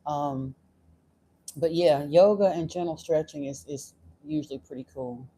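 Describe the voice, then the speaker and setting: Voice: mid-range voice, measured pace, mellow, slightly rough. Chatty, direct livestream spiritual host. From Florida, United States. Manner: chatty and direct, turns blunt and stern; frequent fillers and self-restarts. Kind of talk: casual livestream chat answering viewer comments.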